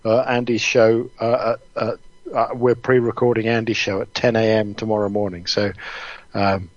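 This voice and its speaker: A man's voice: fairly low pitch, little pitch movement, moderate pace, dry recording, highs cut off, soft background music. A man co-hosting a casual live show with matey, informal, sweary banter. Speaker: co-host.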